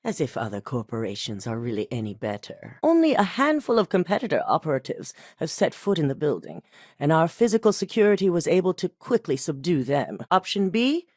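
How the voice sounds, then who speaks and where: A woman's voice: low-pitched, a little mellow, no room sound, clean studio sound. A woman voicing a cool, exacting female corporate executive in recorded game dialogue, speaking in a clipped, controlled, coolly authoritative way. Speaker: game character.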